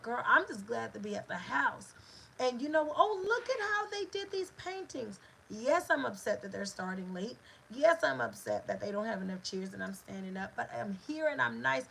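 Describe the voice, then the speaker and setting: Gravelly, bright-voiced woman giving a motivational talk. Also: a little high, a little hiss or hum, poor audio. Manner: emphatic, repetitive, preacher-like cadence. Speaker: host.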